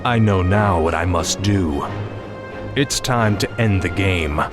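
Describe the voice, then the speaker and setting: A man's voice: low voice, slow pace. An actor playing a grim, resolute fallen king turned undead death knight in a video game cutscene. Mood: cold resolve. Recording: game music behind voice.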